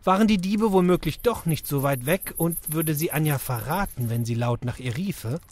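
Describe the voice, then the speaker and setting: A man narrating a children's audio drama in an expressive storytelling manner. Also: a little high, moderate pace.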